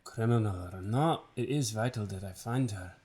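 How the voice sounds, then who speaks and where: A man's voice: fairly low pitch, moderate pace, dark tone, natural voice. A man playing a stern, clipped general. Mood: terse. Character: stern male general on a royal mission. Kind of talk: audio drama acting.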